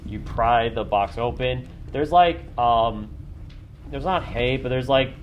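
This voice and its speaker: A man's voice: medium pitch, lo-fi sound. Man game-mastering in a noisy room. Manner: casual, halting, hedges with "like" and "kinda". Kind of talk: improvised tabletop game narration. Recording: noisy room, group recording.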